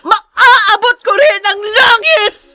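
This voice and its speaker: A woman's voice: very high-pitched, takes it slowly, sharp tone, no echo, exaggerated voice. A woman playing a wronged, long-suffering young daughter with a Filipino accent, tearful and defiant in a radio drama. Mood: tearful defiance.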